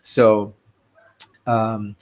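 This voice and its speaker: A man's voice: lowish voice, level tone, very slow, darkish tone. Chatty man with Midwestern American twang. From Malaysia. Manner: chatty, greets viewers one by one, mixes in Malay phrases. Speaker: livestream host. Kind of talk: casual livestream chat. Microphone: desk mic on a streaming setup.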